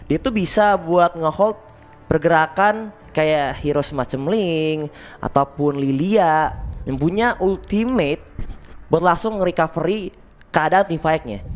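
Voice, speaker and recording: A man's voice: a little high, slight background noise. A young man casting an esports match, analysing the draft picks at length. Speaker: esports caster.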